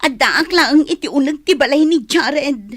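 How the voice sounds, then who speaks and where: A woman's voice: high voice, normal speed, a bit bright. A woman playing a bored, complaining young wife in a radio drama, with a Filipino accent. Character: bored young wife kept cooped up at home.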